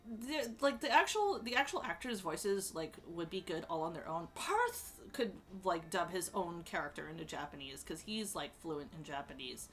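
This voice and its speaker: A woman chatting casually as she ranks characters, rambling with lots of fillers and restarts and sudden bursts of excitement. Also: lowish voice, moderate pace, few pauses. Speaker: streamer ranking show characters.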